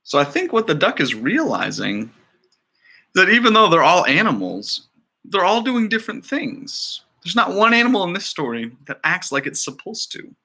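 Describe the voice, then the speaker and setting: A male storytime reader with a bright, very lively voice. He explains things to children in a chatty, expressive way, with plenty of rise and fall. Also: sits high, moderate pace, vocal fry. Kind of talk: children's picture book read-aloud with chatty asides.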